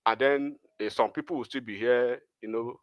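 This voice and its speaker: A man's voice: medium pitch, fairly even intonation, normal speed, no echo. Nigerian man with a Yoruba accent, rambling. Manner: rambling, many restarts and fillers. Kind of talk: political discussion chat.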